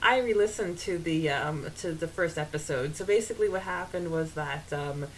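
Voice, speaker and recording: Low-voiced woman chatting on a podcast. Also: moderate pace, slight background noise, low-quality recording. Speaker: podcast co-host. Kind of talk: casual podcast chat.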